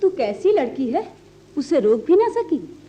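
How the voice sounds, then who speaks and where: A woman's voice: high voice, big pitch swings, unhurried, warm tone, muffled recording. A woman playing a fretful middle-aged mother in a radio play, reproachful and exclaiming. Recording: noisy old radio recording.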